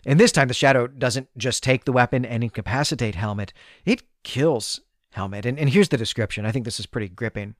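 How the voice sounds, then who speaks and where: A man with a medium-pitched voice talks through a piece of fiction as a podcast host. He explains in a conversational way, dropping in asides and check-ins. The sound is dry, with no room around it. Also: fairly quick, dry recording. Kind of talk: conversational literary story recap.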